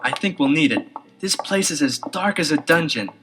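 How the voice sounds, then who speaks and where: An actor playing an earnest, clever teenage boy detective in a scripted audio adventure, explaining things in a deliberate, dramatic way. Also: a little high, animated, sharp tone. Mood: cautious, urgent. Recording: 1970s LP radio-play with music behind.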